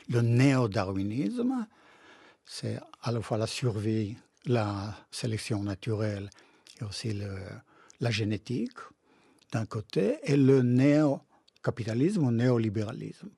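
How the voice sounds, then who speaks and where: A man speaking with a foreign accent in a language not native to him, explaining ideas emphatically and haltingly as a radio interview guest. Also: medium pitch, expressive, vocal fry, mellow, husky, dry recording. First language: not French. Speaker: guest philosopher and author. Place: radio studio.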